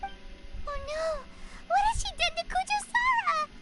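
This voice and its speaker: A woman voicing a tiny, excitable childlike companion sprite in a video game, squeaky and exclaiming dramatically. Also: unhurried. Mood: alarmed. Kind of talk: cartoonish video game voice acting. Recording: loud game music behind.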